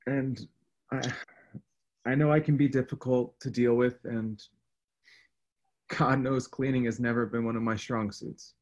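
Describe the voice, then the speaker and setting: An actor playing a playful, humble, apologetic and affectionate husband in a radio drama. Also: male voice, medium pitch, steady tone, a little slow, pauses often, darkish tone, muffled recording, lightly acted. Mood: humble, sincere.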